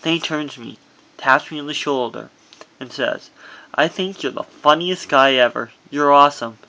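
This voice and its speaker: A man reading his own first-person memoir as audiobook narration, in a halting delivery that stumbles over words. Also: fairly high pitch, vocal fry, sharp tone, low-quality recording.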